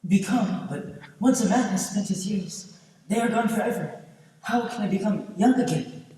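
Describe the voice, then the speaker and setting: Casting: woman as indignant, desperate old suitor, soft dark tone, theatrical, recorded at a distance in an echoing theater. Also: mid-range voice, moderate pace, close to an everyday voice. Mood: pleading. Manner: theatrical, questioning. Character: aging lovesick Mexican man, indignant, gullible, desperate. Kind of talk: stage play acting. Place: large indoor theater stage, distant camera. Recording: echoey theater stage from far off.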